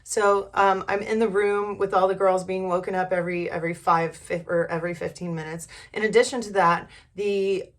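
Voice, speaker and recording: A middle-aged woman with a hoarse voice tells a personal story in a candid, informal, casual way with some mild swearing. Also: medium pitch, flat delivery, normal speed. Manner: candid, informal, mildly profane. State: hoarse from a recent illness. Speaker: vlogger.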